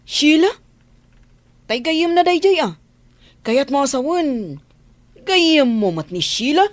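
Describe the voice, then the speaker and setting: A woman's voice: high-pitched, big pitch swings, slow, no room sound, full character voice. A woman acting a nosy, outspoken young friend in Ilocano radio drama, probing and sceptical, with an Ilocano accent. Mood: nosy, teasing. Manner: probing, questioning.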